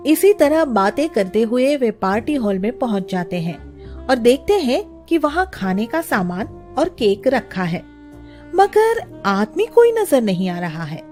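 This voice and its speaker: A woman's voice: fairly high pitch, very animated, unhurried, darkish tone, soft background music. A woman narrating a scripted children's story as its storyteller.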